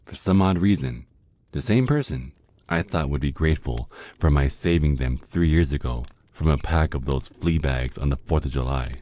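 ASMR roleplay casting: jealous male vampire ex, acted by a man, extremely low dark voice, slow seductive taunts. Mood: smug, menacing. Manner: slow, teasing, drawn-out menacing murmur.

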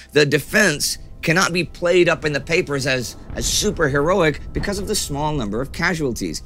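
A man's voice: fairly high pitch, pushed and bright. A middle-aged man hosting a history show, reading scripted narration with emphatic, dramatic storytelling delivery. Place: small furnished study set, indoors.

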